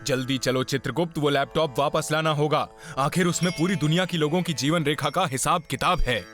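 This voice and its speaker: A man's voice: a little high, fairly quick, lightly acted. A man voicing a pompous, easily offended cartoon god of death in a grand, commanding manner. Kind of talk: theatrical Hindi cartoon voice acting. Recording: loud music behind.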